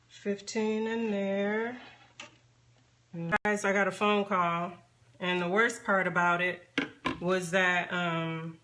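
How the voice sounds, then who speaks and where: A woman chatting casually on a live stream as she demonstrates a craft, unhurried and thinking aloud as she works. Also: mid-range voice, fairly bright tone. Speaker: soap maker hosting a live stream. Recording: background noise, live phone stream.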